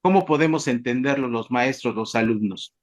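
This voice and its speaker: A Mexican man moderates an academic discussion in a mid-pitched voice. He is polite and semi-formal, stopping and restarting often as he looks for his words. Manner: halting, repetitive, searching for words. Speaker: panel moderator.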